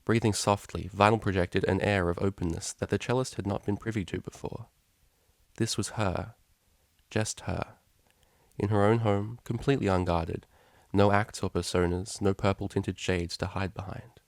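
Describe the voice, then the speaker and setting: A man reading a scripted story as narrator, in a measured, expressive storytelling manner. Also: on the low side, no room sound, clean studio sound. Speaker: fan fiction reader, narrator.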